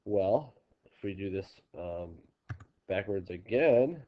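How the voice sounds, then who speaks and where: Stuffy-nosed male teacher, lowish voice, halting explanations, dull home recording. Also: animated. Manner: halting, restarting explanations with many ums. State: congested, stuffy nose. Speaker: geometry teacher. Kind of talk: recorded classroom video lesson.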